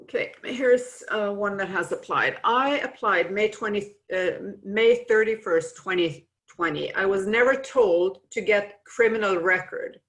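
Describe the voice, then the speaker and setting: A woman's voice: medium pitch, a little slow, few pauses, a bit bright. A woman moderating a webinar, steadily reading out audience questions and adding short explanations, over a video call.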